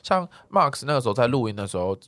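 A man's voice: mid-range voice, very animated, fast talker, a bit bright, no echo. A man with a Taiwanese accent chatting casually as a podcast co-host, with a teasing, colloquial manner. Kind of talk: casual chat.

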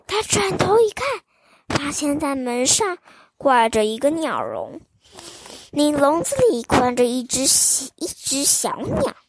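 A woman storyteller reading a fairy tale to children in a slow, expressive, sing-song way. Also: high-pitched.

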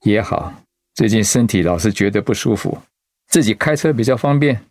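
A man acting an easygoing, caring middle-aged husband, a chaplain, in an expressive radio drama style. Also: lowish voice, normal speed, dry recording. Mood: placating. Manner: expressive, conversational.

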